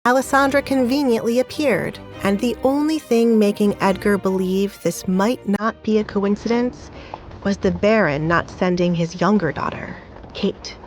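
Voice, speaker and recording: A woman's voice: mid-range voice, moderate pace, soft background music. A woman narrating a scripted audio drama as a storyteller.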